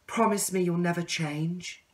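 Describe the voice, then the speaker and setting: A man's voice: high-pitched, steady tone, measured pace, recorded from a distance, pitched above the performer's own voice. A man voicing a sweet but reproachful young female caterpillar, lovestruck and demanding, in a children's storybook.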